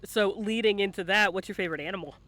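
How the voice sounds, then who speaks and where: A man's voice: sits high, fast talker, crowd noise. A man hosting a casual live interview, teasing and jokey, often repeating phrases for fun. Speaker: interviewer, stream host.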